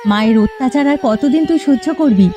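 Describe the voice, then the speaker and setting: A woman voicing a caring elder sister-in-law in a village cartoon, coaxing and gently chiding. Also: high voice, lively intonation, fast talker. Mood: sympathetic. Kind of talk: Bengali cartoon fairy-tale acting.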